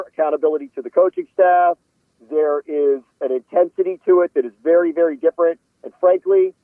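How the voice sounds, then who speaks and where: A man giving conversational football analysis as a guest, measured and opinionated, qualifying his points as he goes. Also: fairly high pitch, dry recording. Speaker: sports analyst interviewed as a guest. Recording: phone-like radio call audio.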